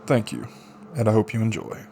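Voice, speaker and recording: A man's voice: lowish voice, a little fast, creaky, warm tone, slight background noise. A man reading a light novel aloud as dramatic scripted audiobook narration. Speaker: audiobook reader.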